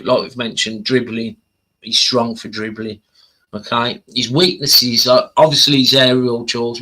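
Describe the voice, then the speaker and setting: A man's voice: medium pitch, pushed and bright, rough recording. A man casually talking through football stats as a livestream host, in a hesitant, conversational way that often circles back and repeats.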